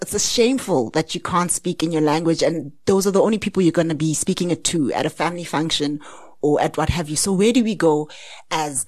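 Low-voiced woman, dry sound. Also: darkish tone, no echo. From South Africa. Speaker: podcast co-host. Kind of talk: casual conversation.

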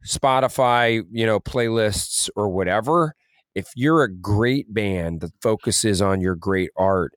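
A man's voice: deep voice, no echo, studio quality. A man co-hosting a podcast, talking casually and earnestly in an informal, conversational way. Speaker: podcast co-host, music artist manager.

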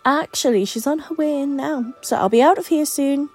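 Woman reading as a blunt, mellow-voiced female co-worker. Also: high voice, moderate pace, soft background music, voice pushed up, close to an everyday voice. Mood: wry. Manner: emphatic, frank. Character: blunt, teasing, bossy female co-worker in a story.

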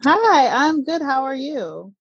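A woman's voice: high voice, sing-song, takes it slowly, dry recording. Chatty woman in her thirties, muffled audio. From Southern United States. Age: 30s. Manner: chatty, lots of fillers, laughs easily. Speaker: podcast guest, platform creator. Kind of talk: casual interview answers.

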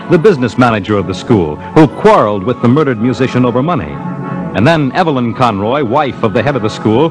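A man's voice: mid-range voice, natural voice, poor audio. A man narrating a 1950s radio mystery in a dramatic, formal, scripted style. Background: music.

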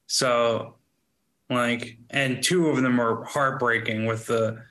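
A man chats about his betting wins on a relaxed podcast. His voice is bright and forceful, and his delivery is loose and rambling, heavy with fillers. His pitch sits in the middle for a man. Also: takes it slowly. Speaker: podcast co-host, sports bettor. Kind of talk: casual podcast chat.

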